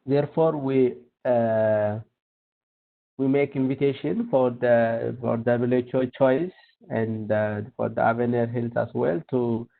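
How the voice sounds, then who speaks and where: A man's voice: mid-range voice, fairly even intonation, takes it slowly, vocal fry. Hesitant man from Ethiopia on a tinny call. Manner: hesitant, many fillers and restarts. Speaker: ministry of health technical advisor. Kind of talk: webinar presentation. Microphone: video call. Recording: tinny phone-like web call audio.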